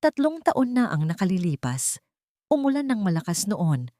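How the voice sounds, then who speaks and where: A woman narrating an audio drama in a measured, dramatic storytelling manner. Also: mid-range voice, sing-song, dark tone, dry recording, studio quality.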